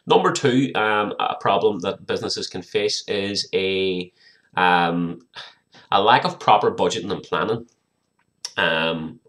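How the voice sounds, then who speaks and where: Deep-voiced, slightly hoarse British man explaining. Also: a bit bright. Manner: conversational, explanatory, addresses viewer directly. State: hoarse, croaky from a viral infection. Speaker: small business accountant presenting advice. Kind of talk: informal video explainer.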